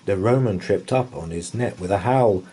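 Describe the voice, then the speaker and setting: A man's voice: on the low side, normal speed, mellow, husky. A man reading a children's bedtime story aloud in rhythmic verse, with a theatrical, dramatic delivery. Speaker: storybook reader.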